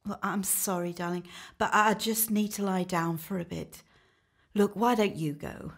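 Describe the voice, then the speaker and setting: Radio drama: actress as wife, falsely sweet and coaxing, breathy voice, slow delivery, medium pitch. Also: female voice, fairly even intonation. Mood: sweetly coaxing. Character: sweetly deceitful wife, secretly impatient. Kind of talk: radio play acting.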